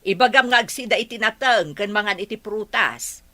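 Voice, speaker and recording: Radio drama actress as no-nonsense mother giving orders, forceful bright voice, mid pitch. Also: moderate pace, lightly acted. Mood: bossy, instructing. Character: bossy practical mother of grown son.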